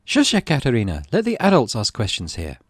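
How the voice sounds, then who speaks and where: A man voicing a stern female schoolteacher in a horror game, brisk and commanding. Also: mid-range voice, wildly swooping pitch, breathy edge, no room sound, voice pushed up. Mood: scolding. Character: stern, bossy female schoolteacher calling class to order.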